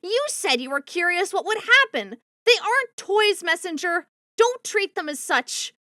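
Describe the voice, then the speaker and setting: A woman's voice: very high-pitched, sing-song, measured pace, pushed and bright, no room sound, studio quality, full character voice. A woman voicing a protective, easily angered spider-woman foster mother with a scolding manner in a comic dub. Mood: stern pleading. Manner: indignant, scolding, slips into Spanish.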